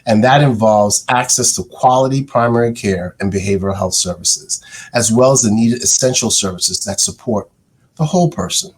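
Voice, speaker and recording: A man giving formal welcome remarks as a health center chief executive, with halting phrasing. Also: fairly low pitch, slight background noise, rough recording.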